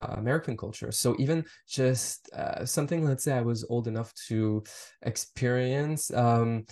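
A man's voice: mid-range voice, moderate pace, a little mellow. French Canadian man, reflective and hesitant. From Montreal, French Canada. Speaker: fashion researcher and collector. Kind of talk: casual podcast conversation.

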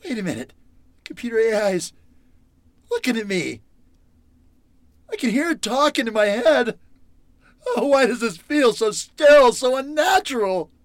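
Man acting a panicked high-pitched captive. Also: big pitch swings, dry recording, heavily acted. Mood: bewildered, horrified. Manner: halting, melodramatic, distraught. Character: panicked, foul-mouthed captive man pleading and scheming to escape. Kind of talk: old-time radio horror acting.

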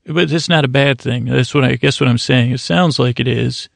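Rambling gravelly man hosting a sleep podcast. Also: mid-range voice, moderate pace, no echo. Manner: meandering, self-deprecating, full of restarts. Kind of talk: rambling monologue for falling asleep.

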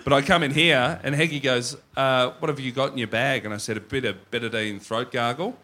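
Bright stuffy-nosed Australian man. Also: mid-range voice, steady tone, fairly quick. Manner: storytelling, acts out quotes. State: stuffy from a head cold. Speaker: podcast co-host. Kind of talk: live comedy podcast chat.

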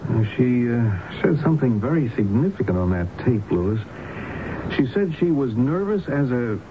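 Intrigued, musing colleague played by a man, medium pitch, hesitant delivery, vintage radio drama with dull sound. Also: sing-song, normal speed, natural voice. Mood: intrigued, troubled. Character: male colleague of doctor, intrigued, earnest, wondering. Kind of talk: 1970s radio drama acting. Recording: dull noisy old radio broadcast recording.

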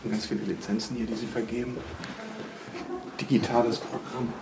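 A man's voice: mid-range voice, little pitch movement, brisk, gravelly. A man hosting a podcast on location indoors, commenting casually and jokingly on his surroundings. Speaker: podcast host. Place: indoor office corridor. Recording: noisy on-location ambience.

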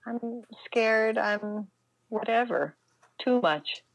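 A middle-aged American woman speaking reflectively as an interview guest, halting and emphatic, often acting out her own inner dialogue. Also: on the high side, creaky, no echo, low-quality recording. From United States, Pittsburgh, Pennsylvania.

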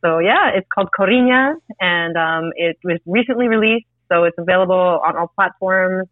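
A woman from Canada, a musician guest, talking in a relaxed storytelling way with fillers. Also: lowish voice, dry recording. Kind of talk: interview over a phone line. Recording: tinny phone line, dry.

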